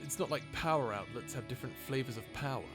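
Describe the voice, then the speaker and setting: A man's voice: medium pitch, fast talker, music in the background, lightly acted. A man playing a sarcastic, easily exasperated human scientist in an informal, conversational audio roleplay drama. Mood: casual, curious. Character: wry, grumbling human scientist, reluctant companion of a robot.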